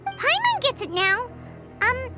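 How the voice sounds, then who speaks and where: An extremely high, squeaky fairy companion from a video game. The little creature is eager and inquisitive, with a childlike bounce that swings widely in pitch, over clear background music. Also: female voice, huge pitch swings, takes it slowly, heavily acted. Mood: curious. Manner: refers to self in third person, exclamatory. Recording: music behind the voice.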